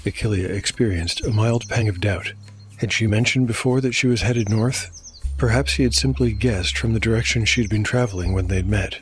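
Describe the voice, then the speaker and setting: Low-voiced male narrator over faint music. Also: moderate pace. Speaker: narrator and podcast host. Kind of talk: scripted narration.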